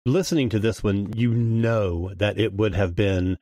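A man with a fairly low voice talks casually as a guest on a review podcast. The sound is dry, with very little room on it. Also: unhurried, warm tone, no room sound.

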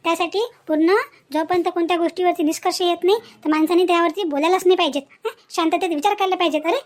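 Woman playing a very high-pitched, preachy cartoon aunt. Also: steady tone, very fast. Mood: firm advising. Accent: Vidarbha Marathi. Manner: preachy, emphatic, repeats herself with tag words. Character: moralizing village aunt, wise, chatty and firm. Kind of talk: cartoon moral-story dialogue.

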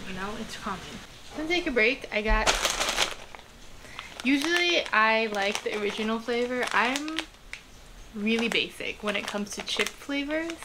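A woman of about thirty chatting casually to camera in a small home kitchen, rambling with many ums and likes. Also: fairly high pitch. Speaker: art vlogger. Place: small home kitchen-studio indoors, rain outside. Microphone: camera close on the table. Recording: home video with clear rain noise behind. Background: rain noise.

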